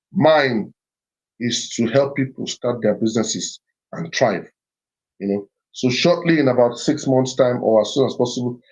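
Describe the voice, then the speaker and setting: A man's voice: medium pitch, darkish tone, dull audio. A man hosting a live stream as a business mentor, talking in a repetitive, urging way with many fillers. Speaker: livestream host and business mentor.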